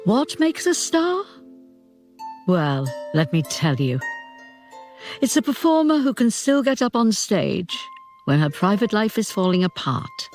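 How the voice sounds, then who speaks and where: A brash, faded showbiz diva in her late sixties holds forth slowly and theatrically. She is proud and earthy, in radio drama style, with some music behind her. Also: medium pitch, big pitch swings, darkish tone. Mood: defiant, stirring. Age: older. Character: brash, proud faded female singing star, aged sixty-nine. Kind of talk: radio play acting.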